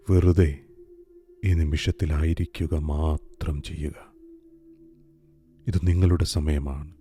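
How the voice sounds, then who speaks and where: A man guiding a meditation in a calm, soft, hushed way. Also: deep voice. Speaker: meditation guide. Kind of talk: slow guided meditation. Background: soft music.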